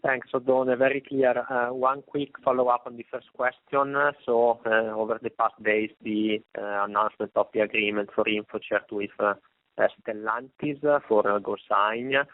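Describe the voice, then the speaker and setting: A man's voice: lowish voice, level tone, normal speed, dry recording. A male financial analyst asking a follow-up question on an earnings call. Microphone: phone. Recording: dry, narrow phone line.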